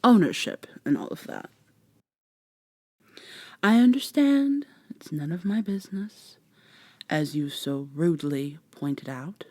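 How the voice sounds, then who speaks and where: A woman as a slow, soft, haughty vampire lady. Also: deep voice, lively intonation, no room sound, exaggerated voice. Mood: pointed, probing. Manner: formal, measured, condescending. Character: haughty, teasing female vampire, formal and worldly. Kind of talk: soft-spoken ASMR roleplay acting.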